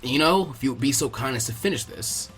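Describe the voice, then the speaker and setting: A man's voice: medium pitch, lively intonation, fairly quick, fairly bright tone, slightly rough, soft background music, pitched below the performer's own voice. A man voicing a lazy, dry, clever boy ninja strategist in an anime fan story.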